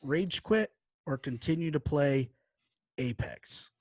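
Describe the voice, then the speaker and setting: A man's voice: on the high side, expressive, slow, dry recording. A man hosting a podcast in casual chat, bantering informally with plenty of slang. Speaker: podcast host.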